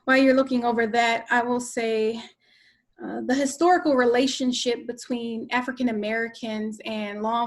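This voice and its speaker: A woman facilitating a discussion, putting prepared remarks and questions to a guest. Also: on the high side. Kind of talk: moderating a discussion, partly reading prepared questions.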